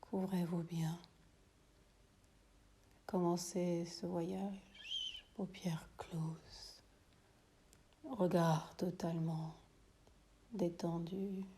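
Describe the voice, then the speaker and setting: A woman teaching yoga nidra, guiding a meditation in a soothing, hushed, breathy delivery that often drops to a near whisper, with long pauses. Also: fairly low pitch. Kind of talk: guided meditation.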